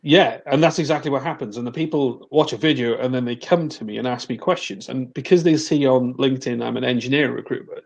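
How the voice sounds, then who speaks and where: A man from Wales with a faint, mostly smoothed-over Welsh accent, talking bluntly and casually as a guest on a video call, with frequent restarts. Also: medium pitch, fast talker, few pauses. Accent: soft Welsh, mostly neutralised toward English. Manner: blunt and chatty, mild swearing, frequent restarts. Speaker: guest, recruitment agency owner.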